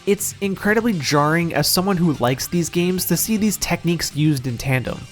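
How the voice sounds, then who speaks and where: A man's voice: on the high side, lively intonation, normal speed. A man narrating a scripted video game review in a wry, opinionated, casually profane manner, as the reviewer himself. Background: music.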